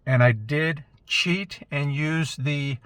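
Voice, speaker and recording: A man talking casually to camera from inside a parked car, explaining things in a relaxed, conversational way. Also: fairly high pitch, takes it slowly, clear, resonant tone, no room sound. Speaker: vlogger, amateur radio operator. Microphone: small camera mic in the car.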